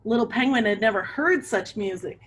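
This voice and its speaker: A woman's voice: on the high side, fairly quick, husky. A woman reading a picture book aloud to children, with chatty asides and questions to her young listeners. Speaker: read-aloud storyteller for children.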